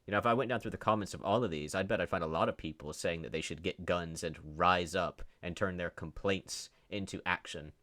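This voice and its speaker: A man with a low voice delivers off-the-cuff commentary. He reads online posts aloud and responds with sarcasm and open disbelief. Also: fairly quick, few pauses, sharp tone. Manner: sarcastic, incredulous. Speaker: commentary host. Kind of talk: unscripted commentary, reading posts aloud and reacting.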